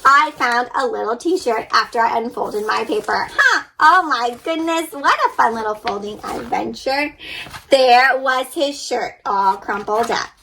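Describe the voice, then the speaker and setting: High-pitched woman, children's storybook reader. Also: a little slow, vocal fry, slight background noise, rough recording. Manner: animated, childlike wonder, exaggerated expression; addresses young listeners directly with questions and sound effects. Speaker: children's read-aloud storyteller. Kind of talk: interactive storybook reading and paper-folding instruction.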